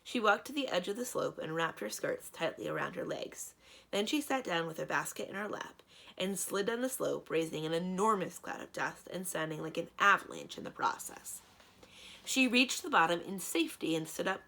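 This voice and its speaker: Expressive woman reading a children's story, slightly creaky. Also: lowish voice, moderate pace. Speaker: library read-aloud reader.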